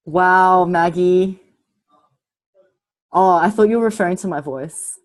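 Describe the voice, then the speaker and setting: A woman hosting an online webinar, her voice slightly low and soothing. She talks in quick, upbeat bursts over a computer mic on a video call. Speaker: webinar host.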